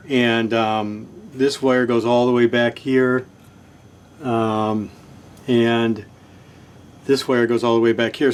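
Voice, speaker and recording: Man thinking aloud, halting and casual. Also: medium pitch. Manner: halting, trailing off and self-correcting mid-thought; informal, laid-back phrasing. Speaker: host of a repair channel, hobbyist radio restorer. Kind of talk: casual unscripted workshop narration, thinking aloud while working.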